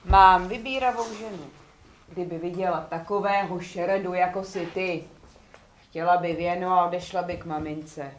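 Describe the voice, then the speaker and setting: A woman's voice: fairly low pitch, lightly acted. Woman playing amused philosopher in echoey, noisy theatre. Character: amused, henpecked male slave-buying philosopher. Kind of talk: stage comedy acting.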